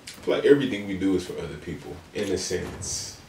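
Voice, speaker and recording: Deep male reaction streamer, loud blurted asides, off-mic, echoey room. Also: expressive, fast talker, a little hiss or hum. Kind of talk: casual reaction commentary.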